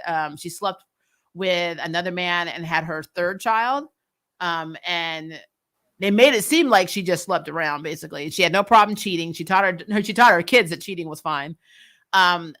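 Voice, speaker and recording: A woman's voice: on the low side, a bit bright. A woman hosting a TV recap, chatting casually and bluntly with strong, exasperated, sweary opinions. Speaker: host of a TV recap show. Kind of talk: casual opinionated fan commentary, replying to live comments.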